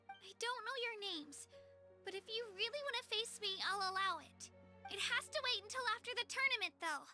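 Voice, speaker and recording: An earnest little warrior girl for an anime game. Her voice sits extremely high, anxious yet determined, with music clearly playing behind it. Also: sharp tone, heavily acted. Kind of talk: anime-style video game acting.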